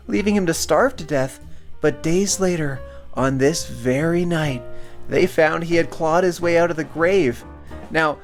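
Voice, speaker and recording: A man's voice: fairly high pitch, pitched above the performer's own voice. A man voicing an eerie girl from a horror movie, solemnly telling a legend in a dramatic storytelling manner.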